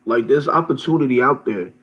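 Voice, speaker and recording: A man co-hosting a casual chat, agreeing quickly and adding short points. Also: mid-range voice, expressive, fairly bright tone, breathy edge, highs cut off.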